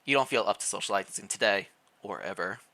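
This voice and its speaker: A streamer reading fan fiction aloud in a playful, expressive way, mixed with casual livestream chat. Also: low voice, fast talker.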